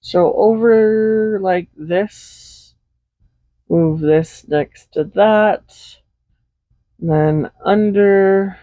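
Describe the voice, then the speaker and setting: A woman playing a doting, possessive villain in an intimate roleplay audio, speaking softly and without hurry in a gently teasing manner. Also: medium pitch, no room sound. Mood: curious, fond. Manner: soft-spoken, unhurried, gently teasing. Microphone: Blue Yeti USB microphone, close. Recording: slightly dull home microphone recording.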